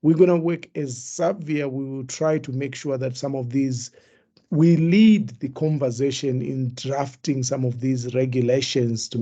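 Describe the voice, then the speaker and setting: A man, the association's chairperson, giving measured closing remarks and thanks on a webinar over a video call. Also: mid-range voice, mellow, breathy edge.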